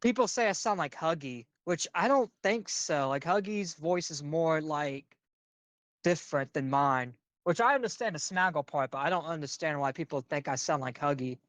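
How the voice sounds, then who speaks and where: Young dude, kinda high loud voice, rambles w lots of "like", dry sound. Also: male voice, animated, normal speed, no room sound. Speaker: commentary streamer. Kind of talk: casual reaction chat.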